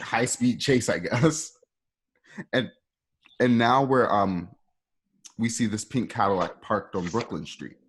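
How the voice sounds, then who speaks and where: A man's voice: fairly low pitch, husky. A man informally narrating stage directions for a radio play in a conversational, ad-libbing way.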